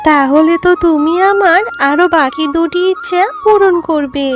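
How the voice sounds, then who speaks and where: A woman voicing a poor, gentle jackal mother in a children's cartoon, with an earnest, plaintive manner. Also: extremely high pitch, normal speed, soft background music. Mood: grateful, pleading.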